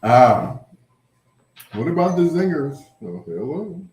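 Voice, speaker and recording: A man hosts a casual livestream in an echoey room. He talks slowly, with a pitch that swings up and down freely. He rambles, repeats himself and draws out his greetings to viewers. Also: sing-song, a little mellow, slight background noise. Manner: unhurried, rambling, repeats phrases, drawn-out greetings. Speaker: livestream host. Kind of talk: casual chat with live viewers. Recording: roomy, echoing sound.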